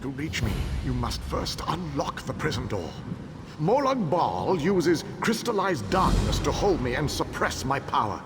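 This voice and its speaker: A man's voice: on the high side, very animated, over music. A proud ancient elven king. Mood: commanding. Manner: measured, commanding, formal. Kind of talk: video game voice acting. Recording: long hall reverb with loud ambience.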